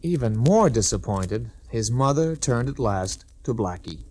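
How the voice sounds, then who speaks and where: A man's voice: medium pitch, expressive, unhurried, warm tone, faint music behind. A man narrating a scripted children's story in 1960s storybook-record style.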